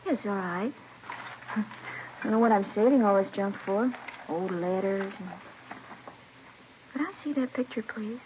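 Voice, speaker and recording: A woman playing a casual, cooperative young college graduate in a 1950s radio drama, plainspoken and unhurried. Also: mid-range voice, lightly acted. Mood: offhand, thoughtful. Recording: muffled, noisy vintage radio recording.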